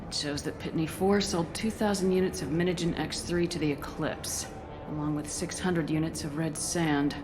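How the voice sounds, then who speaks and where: Actress as female military commander, low voice, terse, blunt, no-nonsense, video game dialogue, background noise. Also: steady tone, moderate pace, hardly any pauses, close to an everyday voice. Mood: focused. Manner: terse, matter-of-fact. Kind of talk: video game character acting.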